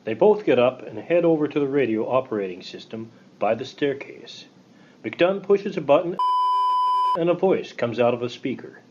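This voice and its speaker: A man's voice: medium pitch, expressive, moderate pace, dull audio. A man reading scripted narration and scene directions for a radio play. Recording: loud rain and background noise.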